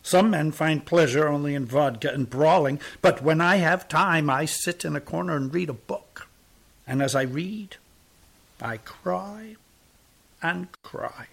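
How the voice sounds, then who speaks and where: A man reading aloud puts on a wandering beggar who is soft, drawling and sickly sweet, pious, boastful and forever sorry for himself. The voice sits high above a plain man's register and keeps a gravelly, husky grain. It is a storybook figure told with quiet fondness. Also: measured pace, heavily acted. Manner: pious, boastful, self-pitying. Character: pious sentimental male tramp, sickly sweet.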